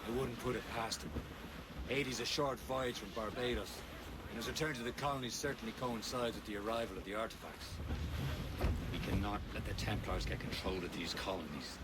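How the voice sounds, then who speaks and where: Male actor as a stern game mentor; measured and serious, buried in heavy ocean noise. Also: lowish voice, natural voice. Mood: grave. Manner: grave, measured briefing. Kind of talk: video game cutscene acting. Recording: game audio with loud sea noise.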